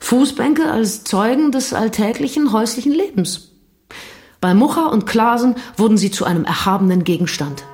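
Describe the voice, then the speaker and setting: A woman's voice: a little high, moderate pace, darkish tone, natural voice. An actress playing a thoughtful, slightly intimidated woman in an audio drama, speaking in a reflective, questioning way. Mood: recalling.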